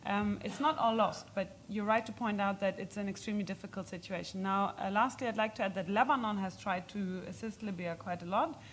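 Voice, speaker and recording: A woman giving halting, explanatory answers as an expert speaker in an unscripted question session at a policy event. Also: mid-range voice, a little hiss or hum.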